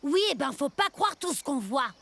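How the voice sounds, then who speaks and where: A woman's voice: high-pitched, very animated, normal speed, gravelly, fairly bright tone, exaggerated voice. A woman voicing a sceptical, no-nonsense young boy aviator in a children's cartoon, speaking matter-of-factly.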